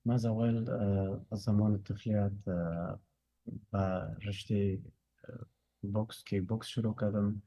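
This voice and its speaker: Young Afghan fighter, man, rapid hesitant answers, dark voice, lo-fi audio. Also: lowish voice, flat delivery, no room sound. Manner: hesitant, many fillers. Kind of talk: interview answers. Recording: dull lo-fi audio.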